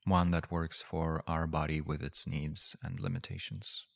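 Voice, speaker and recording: Low-voiced man guiding a meditation. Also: little pitch movement, gravelly. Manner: gentle, unhurried, with long pauses. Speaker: meditation teacher. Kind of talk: guided meditation.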